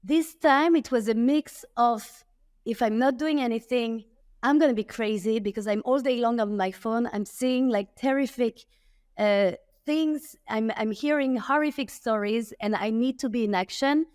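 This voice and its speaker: A woman's voice: fairly high pitch, normal speed, a little mellow, dry recording, studio quality. Earnest French-accented woman. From France. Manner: earnest, emphatic, with frequent restarts and "okay?" asides. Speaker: guest, tech entrepreneur. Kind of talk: remote interview conversation.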